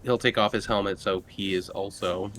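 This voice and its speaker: Low-voiced man playing a tabletop game. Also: fairly even intonation, moderate pace, very noisy. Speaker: tabletop roleplaying player. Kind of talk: in-character roleplay mixed with casual game talk.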